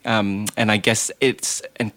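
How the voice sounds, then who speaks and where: A man's voice: lowish voice, little pitch movement, a little slow, fairly bright tone, no echo. A man from Malaysia, a podcast guest, talking in a humble, emotional, self-deprecating way. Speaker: guest, production manager. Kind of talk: casual podcast chat.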